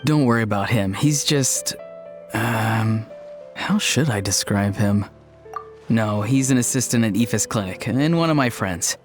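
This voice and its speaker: A man's voice: on the low side, unhurried, soft background music, natural voice. A man voicing a mild, friendly young man in a video game, with a thoughtful, slightly hesitant manner. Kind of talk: video game character acting.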